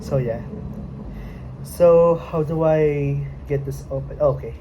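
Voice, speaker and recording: Young Filipino-accented woman, unhurried home vlog; low, mellow voice, lots of hesitant pauses, faint room hum behind. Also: muffled recording. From Philippines. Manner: hesitant, searching for words, many fillers. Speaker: vlogger. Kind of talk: casual unboxing vlog. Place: home at night.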